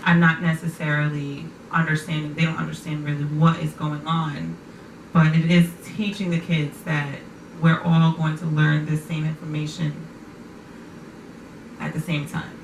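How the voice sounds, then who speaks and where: A woman's voice: sits low, flat delivery, normal speed. A woman hosting a casual talk show, often affirming and repeating her guest's words back. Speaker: podcast host. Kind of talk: casual conversation. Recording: echoey room, distant mic, noisy.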